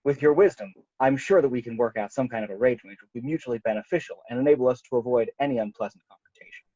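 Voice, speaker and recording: A young man playing a calm, formal young knight with diplomatic wording in an online table read. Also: medium pitch, rapid-fire, no room sound, close to an everyday voice. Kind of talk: amateur table read over video call. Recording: muffled video-call audio.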